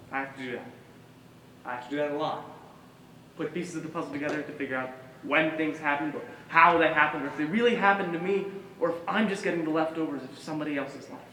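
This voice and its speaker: A man acting an earnest, protective guardian in a stage monologue, speaking deliberately with emphatic pauses. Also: a little high, a bit bright. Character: earnest, protective male guardian with patchy memory. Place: stage in a large hall, distant mic. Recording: echoey hall from far off, noisy.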